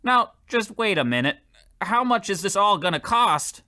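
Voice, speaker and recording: A man's voice: high-pitched, sing-song, moderate pace, sharp tone, exaggerated voice. A man playing a meek, cheerful, hapless poor man in a radio comedy, stammering earnestly as he talks. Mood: flustered, stubborn. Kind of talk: old-time radio comedy acting.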